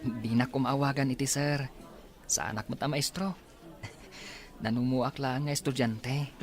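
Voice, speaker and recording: A man's voice: mid-range voice, a little slow, soft background music, lightly acted. An actor playing an earnest, courteous young man in a radio drama. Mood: polite, curious. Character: earnest, courteous young man seeking his lost sibling. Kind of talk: Ilocano radio drama acting.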